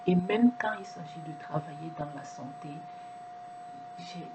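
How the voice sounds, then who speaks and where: A woman's voice: low voice, unhurried, background noise. A woman speaking French as a second language, giving an earnest reflective monologue to camera, with frequent restarts and repeated words. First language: not French. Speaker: video presenter.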